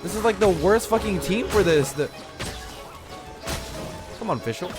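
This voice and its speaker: Joking, slangy streamer with very animated pitch. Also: unhurried, over music. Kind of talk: casual game livestream commentary.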